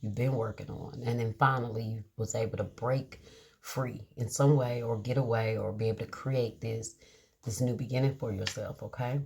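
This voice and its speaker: A woman giving a casual tarot reading to her viewers, reading card meanings aloud slowly and checking in with a reassuring "okay?". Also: extremely low pitch, background noise. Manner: drawn-out, reassuring, many "okay?" check-ins. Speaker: tarot reader.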